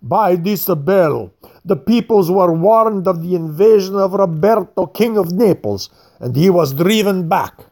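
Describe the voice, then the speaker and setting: Reader playing a slow, pompous old man. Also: medium pitch, expressive, darkish tone, voice pushed up. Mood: indignant. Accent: Italian. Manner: slow, careful, formal, bowing.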